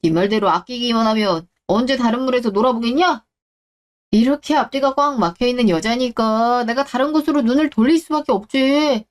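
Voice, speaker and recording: A woman's voice: fairly high pitch, fairly quick, close to an everyday voice. A woman voicing an arrogant, shameless middle-aged ex-husband in a radio drama, sneering and blaming as he talks. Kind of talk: Korean radio drama reading.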